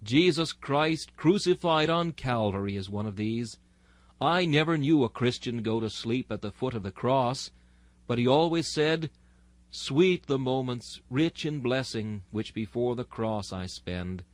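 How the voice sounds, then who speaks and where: A man delivers a dramatic sermon reading at a middling pitch. His manner is solemn and exhorting, with formal oratorical phrasing. The sound is dry and free of room echo. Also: a little slow. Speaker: narrator reading a historical sermon.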